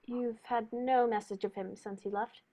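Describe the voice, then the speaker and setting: A woman playing a composed, courteous male detective with formal, measured phrasing in a radio play. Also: a little high, steady tone, mellow, lightly acted. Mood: polite, attentive. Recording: dull lo-fi recording.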